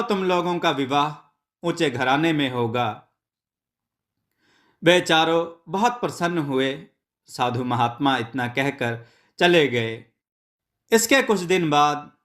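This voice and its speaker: A man narrates a traditional folk tale from a script. His voice sits a little higher than most men's. He takes his time, delivering the story at a very slow, deliberate pace. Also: a bit bright.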